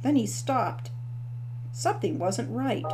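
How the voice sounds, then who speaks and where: A woman author reading her novel aloud as the narrator, in a steady storytelling manner. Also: fairly high pitch, slow, slight background noise, low-quality recording. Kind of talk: scripted audiobook narration.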